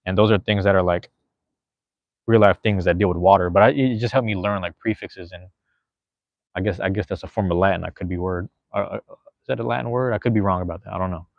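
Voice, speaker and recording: A man in his thirties talks in a loose, rambling way, full of slang and casual swearing. His voice sits fairly low. The recording is dry and dull, with a lo-fi sound. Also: fairly even intonation, quick pace, gravelly, dry recording. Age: 30s. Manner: rambling, laid-back, slangy, swears freely. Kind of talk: casual chat.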